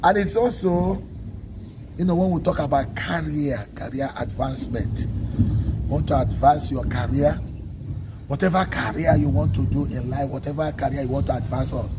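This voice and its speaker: A man from Nigeria with a Nigerian accent, an Igbo speaker, giving a measured teaching talk on a livestream and often checking in with "okay?". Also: mid-range voice, very noisy. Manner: measured, stresses single words, asks listeners "okay?". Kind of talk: slow, deliberate teaching talk.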